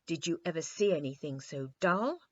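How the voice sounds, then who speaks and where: A woman's voice: low-pitched, lively intonation, moderate pace, dry recording, dull audio. A woman voicing a petulant, haughty little boy king in a children's storybook reading. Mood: sulky. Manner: cross, haughty, complaining.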